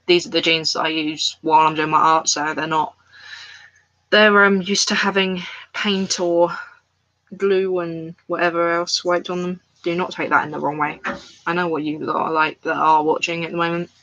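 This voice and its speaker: Woman streaming from home, bright voice, loose rambling chat with viewers. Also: lowish voice, little pitch movement, normal speed, rough recording. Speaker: crafts livestreamer. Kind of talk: casual live-stream chat. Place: at home, indoors.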